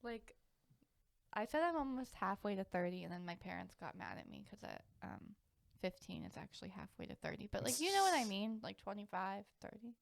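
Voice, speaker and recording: A woman's voice: mid-range voice, sing-song, a little fast, gravelly, no echo. A woman in her twenties chatting casually as a podcast co-host, informal and often interrupting herself with "like.". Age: 20s. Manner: informal, self-interrupting, uses "like" constantly. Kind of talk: casual chat.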